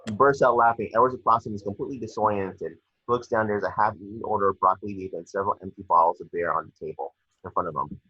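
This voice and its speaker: Low, husky man narrating a script. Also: quick pace, no echo. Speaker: narrator reading action descriptions. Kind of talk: scripted narration in a film table read. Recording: dry, dull lo-fi home recording.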